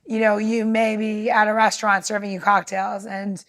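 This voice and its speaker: A woman's voice: medium pitch, little pitch movement, normal speed, fairly bright tone. A woman co-hosting a podcast in casual conversation, talking in an earnest, rambling way. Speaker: podcast co-host.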